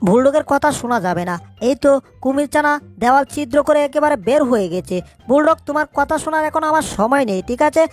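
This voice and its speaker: Woman voicing a high-pitched bossy little mouse. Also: animated, fast talker, faint music behind. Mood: dismissive. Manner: scolding, self-narrating. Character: small, crafty, bossy, busybody cartoon mouse. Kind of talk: cartoon dub in Bangla.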